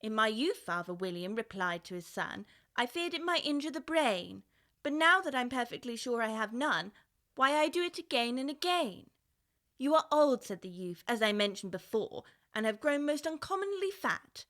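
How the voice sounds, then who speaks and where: Shy polite little girl in a storybook, high bright voice, easily flustered. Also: very animated, normal speed. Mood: reciting. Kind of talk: full-cast audiobook acting.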